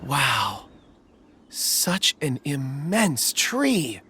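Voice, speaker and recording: A man's voice: medium pitch, very animated, drawn-out words, bright, forceful tone, a little hiss or hum, heavily acted. An actor playing a mild, thoughtful and hesitant young male companion in a fantasy game. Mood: thoughtful, calm. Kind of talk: animated game character acting.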